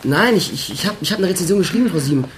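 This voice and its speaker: A performer playing an evasive teenage schoolboy in a radio drama, halting, slangy and stammering. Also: medium pitch, lively intonation, noisy background, natural voice. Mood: nervous, evasive. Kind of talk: radio crime drama acting.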